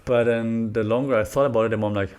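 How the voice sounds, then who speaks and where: A man's voice: medium pitch, steady tone, racing, darkish tone, smooth. A man from Germany with a German accent, talking casually on his own podcast, rambling with many fillers and restarts. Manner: rambling, frequent fillers and restarts, laughs often. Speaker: podcast host. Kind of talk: casual solo podcast talk.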